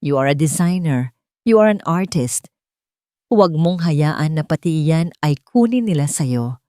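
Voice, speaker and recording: A woman voicing a gentle but firm, reassuring male love interest in an audio romance story. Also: low-pitched, measured pace, dry recording, clean studio sound. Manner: soft, steady, reassuring.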